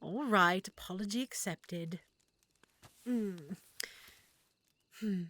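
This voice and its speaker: A woman playing an affectionate, excitable half-elf shopkeeper in a roleplay audio drama, speaking expressively and warmly to the listener. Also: mid-range voice, extremely slow. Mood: apologetic, affectionate. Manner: expressive, warm, reacting with feeling.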